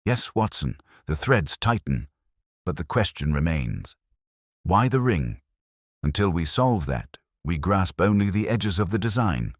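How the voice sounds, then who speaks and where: A man's voice: deep voice, very animated, unhurried, no room sound, clean studio sound, voice pushed up, exaggerated voice. A man voicing a keen, commanding Victorian detective in an audiobook, precise and authoritative. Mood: keen, deliberating.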